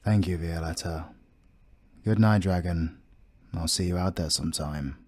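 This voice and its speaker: Man acting low, measured British army captain. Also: darkish tone. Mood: courteous. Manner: formal, measured. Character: stiff, proud male army captain, humbled and courteous. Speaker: voice actor. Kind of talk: romantic fantasy audio roleplay acting.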